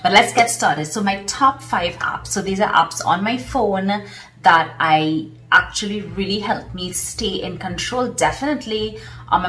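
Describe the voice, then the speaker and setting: A woman's voice: lowish voice, pushed and bright. A woman with a Trinidadian accent, chatting enthusiastically to camera about her favourite tools, with plenty of fillers. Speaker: vlogger and business coach. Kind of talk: casual talk to camera. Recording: roomy echo, mic at a distance.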